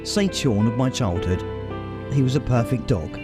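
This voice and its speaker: A man reading a memoir aloud as an audiobook narrator, in measured storytelling phrases. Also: medium pitch, lively intonation, over loud music. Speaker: audiobook reader. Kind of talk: scripted literary narration.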